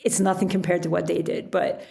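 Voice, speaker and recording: Creaky-voiced woman in reflective conversation. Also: fairly low pitch, level tone, brisk, dark tone. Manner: reflective, chuckles often while talking. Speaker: podcast guest, author and sailor. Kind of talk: casual interview conversation.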